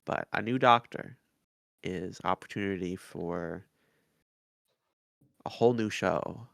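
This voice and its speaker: A man co-hosts a casual review podcast. His voice sits a little low and often crackles into fry. The recording is close and dry. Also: takes it slowly, fairly bright tone, no echo. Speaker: podcast co-host.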